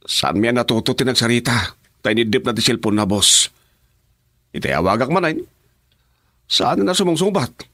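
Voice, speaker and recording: A man's voice: mid-range voice, expressive, normal speed, long pauses, bright, forceful tone, no echo, studio quality. An actor playing an argumentative, complaining henchman who answers to a gang boss in an Ilocano radio drama.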